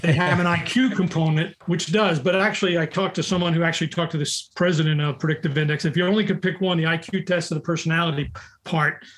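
A man's voice: fairly high pitch, fairly even intonation, fast talker, a bit bright. An older man, a recruiter, chatting on a call and telling long, rambling anecdotes with frequent restarts. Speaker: recruiter, guest. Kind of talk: casual conversation on a call. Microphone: call audio.

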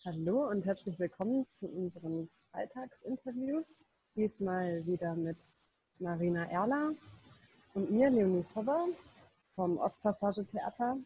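A woman's voice: medium pitch, animated, a little slow, warm tone, breathy edge, rough recording. A woman hosting an informal radio interview, introducing and questioning in a conversational way. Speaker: interview host.

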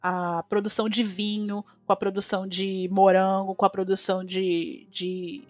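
An opinionated woman from Brazil with a Brazilian Portuguese accent talks as a chef in a relaxed interview. Her voice sits at a middle pitch and leaps up when she stresses something. The sound is dry and close, with faint music underneath. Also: moderate pace, no room sound. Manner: animated, opinionated, rising sharply when emphatic. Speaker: chef, guest. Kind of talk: casual conversation.

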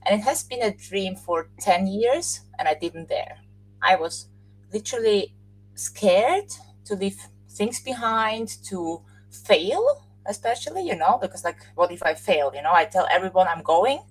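A woman from Austria, a podcast guest, talking in a relaxed, thoughtful way with frequent laughs and restarted sentences. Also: mid-range voice, measured pace, a little hiss or hum, poor audio. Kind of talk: casual interview chat.

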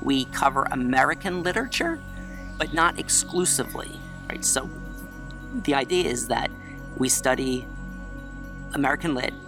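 A loud, self-assured male teacher in his forties talks casually through a class introduction. His voice is bright and forceful, at a middle pitch, recorded outdoors in a courtyard. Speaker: high school English teacher. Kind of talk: class introduction video lecture. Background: crickets and chirping.

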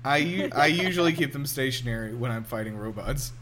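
A man's voice: mid-range voice, animated, a little fast, crowd noise. A man in his twenties hosting a casual film commentary chat, asking lots of questions as he goes. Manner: inquisitive, asks lots of questions. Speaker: podcast host.

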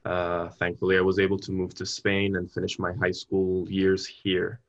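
A man's voice: deep voice, monotone, moderate pace, highs cut off. A young man from Venezuela, a university student answering interview questions in an earnest, polite way, with long careful sentences and small restarts. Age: young adult. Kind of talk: conversational interview.

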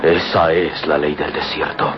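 A muffled old radio serial with loud music behind. a brooding, lovelorn male avenger, deep-voiced, solemn and impassioned in a melodramatic style. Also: level tone, unhurried. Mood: solemn, resolved. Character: brooding, vengeful Egyptian man in love.

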